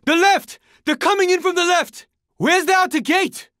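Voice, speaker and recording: High-voiced young survivor in a zombie game. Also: male voice, moderate pace, sharp tone, no room sound, studio quality. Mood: urgent alarm. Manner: terse, earnest, urgent. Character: young Japanese male survivor fighting a zombie horde, urgent, determined. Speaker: video game character. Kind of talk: video game voice lines, dramatic combat barks.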